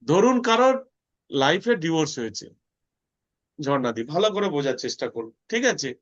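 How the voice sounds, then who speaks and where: A man's voice: a little high, big pitch swings, normal speed. A man teaching a course, emphatic, repeating phrases and often checking that students follow. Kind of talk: online lesson with worked examples. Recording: dull lo-fi sound.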